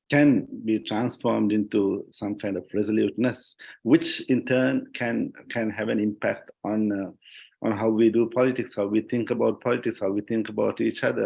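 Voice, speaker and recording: Reflective, halting Sri Lankan man. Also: fairly low pitch, vocal fry, darkish tone, slightly rough, low-quality recording. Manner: reflective, halting, searching for words with repeated phrases. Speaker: panelist, journalist and writer. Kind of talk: thoughtful discussion in an online panel. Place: online discussion call.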